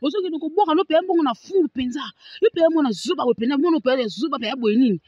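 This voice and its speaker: A man with a Congolese accent playing a blunt, rambling, meddling busybody in an animated comedy, full of repeated filler words, on a dull recording. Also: very high-pitched, quick pace, dry recording. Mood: nagging.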